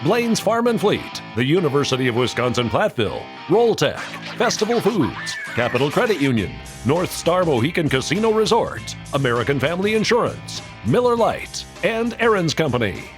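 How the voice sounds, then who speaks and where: Higher-pitched male announcer over guitar music. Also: big pitch swings, normal speed, few pauses. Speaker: sponsor announcer. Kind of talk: scripted promotional read.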